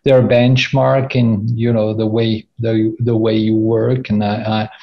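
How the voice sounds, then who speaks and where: A man's voice: on the low side, flat delivery, a little slow, creaky. A man in his fifties with a French accent talks through a video call from his office, with audio that sounds slightly dull. He hesitates, doubles back on his words and drops small laughs between thoughts. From France. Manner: hesitant, many repeated words and fillers, laughs often. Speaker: company CEO guest. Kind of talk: conversational interview.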